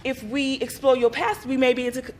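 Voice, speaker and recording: A patient therapist who questions calmly, as a woman plays her, with a high voice. Faint ambience hums behind her. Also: slight background noise, close to an everyday voice. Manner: calm, measured questioning. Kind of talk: stage play acting.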